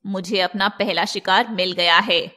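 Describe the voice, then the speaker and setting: A woman voicing a gloating, predatory poison-maiden villain in an animated fairy tale, drawing out her words. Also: medium pitch, measured pace. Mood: scheming.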